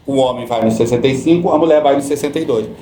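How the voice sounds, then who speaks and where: A middle-aged Brazilian man, a guest on a podcast, who explains pension rules patiently and often repeats his points to calm the listener. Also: medium pitch, fast talker, reverberant, faint music behind. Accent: Brazilian Portuguese. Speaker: social security law guest.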